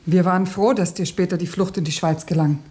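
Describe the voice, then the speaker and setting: Woman acting a dedicated underground rebel, measured and earnest, echoey with faint hiss, radio drama. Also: lowish voice, fairly even intonation, mellow, natural voice. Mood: relieved. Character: earnest, committed woman bomb-maker among revolutionaries.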